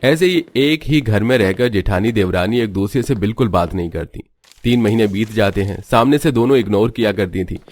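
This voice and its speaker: A man narrating a cartoon family story in a steady, scripted storytelling manner. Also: fairly low pitch, brisk, no echo.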